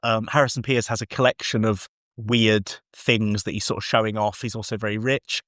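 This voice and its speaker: A man's voice: on the low side, steady tone, normal speed, a bit bright, no echo, clean studio sound. A man co-hosting a podcast, discussing audio drama in a casual, discursive and enthusiastic way.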